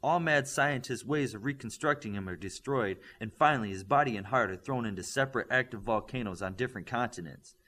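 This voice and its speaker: A man narrating a superhero story as an audiobook, reading from a script with dramatic, deliberate phrasing. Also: mid-range voice, fairly bright tone.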